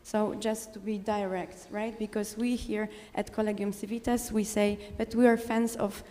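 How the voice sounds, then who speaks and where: A woman from the audience, speaking English as a philosopher at a conference, direct and insistent, often pausing with fillers. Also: mid-range voice, little pitch movement, dark tone, in a large hall. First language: Polish. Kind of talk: conference floor remarks. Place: conference hall.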